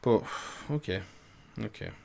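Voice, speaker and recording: A man's voice: unhurried, dark tone, slight background noise. A man hosting a reaction video, casually commenting on game cutscenes and musing half to himself.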